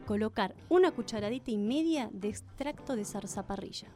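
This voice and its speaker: An actress playing an earnest, polite, hesitant young niece in a radio drama. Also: female voice, medium pitch, lively intonation, brisk, mellow, soft background music, natural voice. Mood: focused.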